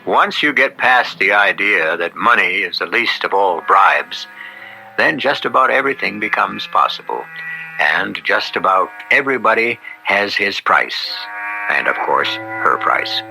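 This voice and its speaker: A man hosting an old-time radio anthology, narrating in a wry, measured, reflective way. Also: on the low side, animated, gravelly, over music, poor audio. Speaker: host.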